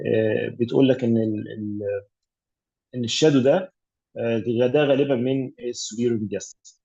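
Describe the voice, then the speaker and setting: Egyptian man, radiology tutor, quick delivery, mellow dark tone, breathy, dull lo-fi call audio. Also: medium pitch. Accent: Egyptian Arabic. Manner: explanatory, mixes English medical terms, many fillers. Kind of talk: online exam revision teaching.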